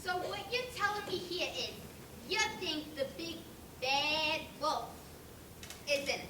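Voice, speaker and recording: A female amateur actor playing an excitable, accusing pig juror on stage, with emphatic, theatrical storytelling. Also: high voice, measured pace. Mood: insistent. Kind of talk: amateur school stage play. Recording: echoey room with clear noise.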